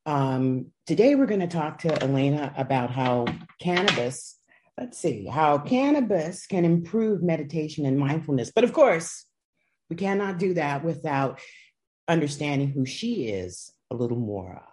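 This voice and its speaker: Older woman podcast host, chatty and enthusiastic, with a very deep voice. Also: expressive, moderate pace, a little mellow. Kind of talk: casual podcast interview.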